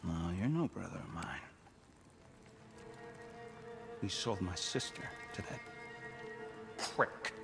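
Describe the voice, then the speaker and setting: Slow, menacing, sardonic male god. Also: sits low, very animated, gravelly, full character voice. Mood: angry, accusing. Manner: taunting, drawn-out, deliberate delivery. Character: menacing, sardonic male god, bitter exiled rebel lord. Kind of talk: dramatic video game acting. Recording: loud music behind the voice.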